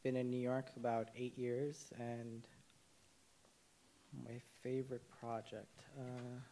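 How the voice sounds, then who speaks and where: A young man from Nepal sits on a panel of filmmakers, speaking at an everyday mid pitch. He talks reflectively, with plenty of "um"s and restarts as he finds his words. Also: little pitch movement, slow pace, dark tone, a little hiss or hum, poor audio. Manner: hesitant, many fillers and restarts. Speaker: documentary cinematographer, panelist. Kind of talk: panel discussion.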